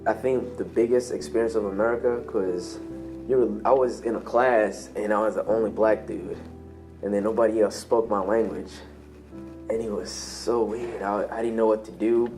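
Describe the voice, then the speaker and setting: A man from Rwanda, an immigrant student, calmly telling his story in a documentary interview. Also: on the low side, normal speed, reverberant, faint music behind.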